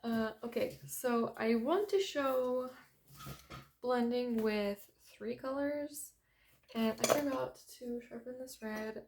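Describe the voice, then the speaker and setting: Soft-spoken young woman, slow and quiet. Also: on the high side, background noise. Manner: soft-spoken, hesitant with many ums and apologies. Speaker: art tutorial host. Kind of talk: unscripted coloring tutorial narration.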